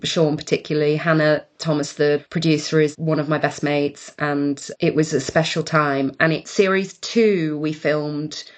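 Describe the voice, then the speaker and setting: A Welsh woman, an actor, chatting casually in an interview, telling stories with laughs and quick restarts. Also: sits low, steady tone. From Wales.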